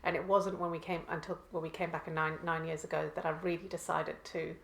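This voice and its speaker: Gravelly-voiced woman, hesitant, roomy echo. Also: low-pitched, flat delivery, fairly bright tone. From Malawi. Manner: hesitant, many pauses and restarts. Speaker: guest, cookbook author. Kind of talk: conversational interview answers. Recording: echoey room.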